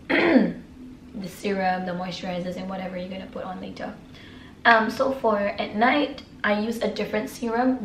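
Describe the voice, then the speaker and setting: A woman from Singapore with a hoarse, raspy voice from a sore throat, chatting casually through her nightly skincare products and often clearing her throat. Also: medium pitch, echoey room, a little hiss or hum, poor audio. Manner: chatty, filler-heavy, keeps clearing throat. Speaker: beauty vlogger. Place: room.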